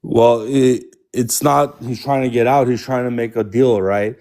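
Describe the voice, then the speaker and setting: A man's voice: mid-range voice, steady tone, unhurried, darkish tone. Man, confident, explanatory, political talk on a live stream. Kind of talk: political commentary.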